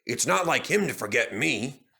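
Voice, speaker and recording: A man's voice: mid-range voice, animated, moderate pace, vocal fry, pushed and bright. A man voicing a proud, kindly old steam engine who scolds in a stern, old-fashioned way, in a storybook reading. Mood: wistful.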